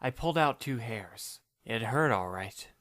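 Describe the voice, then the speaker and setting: A man's voice: medium pitch, lively intonation, unhurried, a bit bright, no room sound. Dry, wry young male narrator. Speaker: narrator and lead reader. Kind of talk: first-person audiobook narration.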